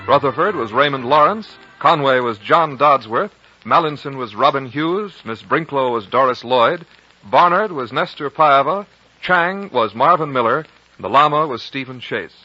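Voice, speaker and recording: Male American network announcer, 1950s radio. Forceful, bright, lively pitch, formal and polished. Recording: dull vintage radio broadcast.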